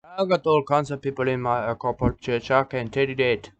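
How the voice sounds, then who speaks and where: A man playing an odd male preacher of a nudist church, who talks in halting broken English and keeps repeating himself. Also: medium pitch, close to an everyday voice. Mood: rambling. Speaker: self-styled church leader. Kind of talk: rambling comic monologue. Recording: dull lo-fi recording.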